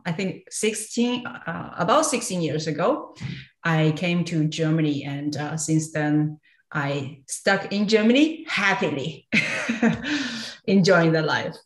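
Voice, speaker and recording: A woman from China, in her thirties, answering podcast questions hesitantly with many pauses and light laughs. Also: low voice, lively intonation, echoey room. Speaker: guest entrepreneur.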